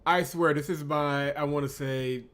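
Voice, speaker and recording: A man's voice: fairly high pitch, steady tone, measured pace, fairly bright tone. A man hosting a video game playthrough, talking casually in an exasperated, grumbling manner. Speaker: host of a visual novel playthrough channel. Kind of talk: casual gameplay commentary.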